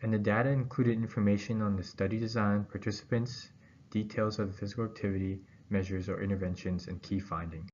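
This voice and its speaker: Low-voiced man reading a presentation, muffled recording. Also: fairly even intonation, brisk, darkish tone. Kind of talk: scripted academic presentation read aloud. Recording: dull, muffled audio with background noise.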